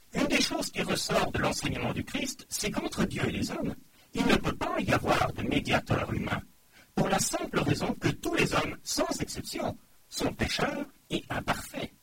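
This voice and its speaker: High, lively-voiced male preacher, noisy recording. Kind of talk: sermon. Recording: loud background noise.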